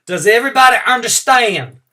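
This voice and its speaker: A woman voicing a patient kindergarten teacher who explains the rules clearly and carefully. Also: on the high side, expressive, fairly quick, sharp tone, far from the mic, voice pushed up.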